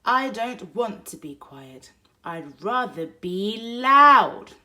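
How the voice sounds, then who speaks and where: A woman reading a picture book aloud for toddlers, expressive, moving between hushed whispers and loud exclaims. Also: fairly high pitch, slow pace. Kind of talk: read-aloud bedtime story for toddlers.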